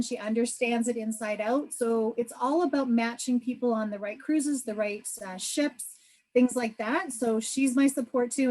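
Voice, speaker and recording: A woman's voice: a little high, a little mellow, rough recording. A woman travel agent from Canada hosting a video call presentation, upbeat and chatty. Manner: upbeat, chatty, many fillers.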